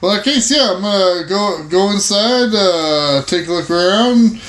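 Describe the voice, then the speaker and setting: A man's voice: high-pitched, animated, moderate pace, fairly bright tone. A man playing a scruffy, courteous investigator, narrating his actions in a tabletop game. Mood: courteous, inquiring. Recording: echoey room.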